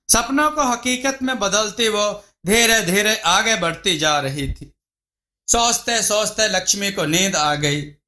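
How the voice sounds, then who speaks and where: एक पुरुष, जो लिखी हुई नैतिक कहानी को भाव के साथ कथावाचक की तरह सुना रहा है।. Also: male voice, high voice, sharp tone. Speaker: storyteller. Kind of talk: scripted Hindi moral story narration.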